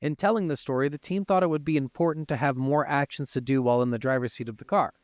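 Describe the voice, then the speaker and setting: Higher-voiced man, clear podcast host. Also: fast, dry recording, clean studio sound. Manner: informal, peppered with swearing. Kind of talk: casual gaming podcast talk with reading from notes.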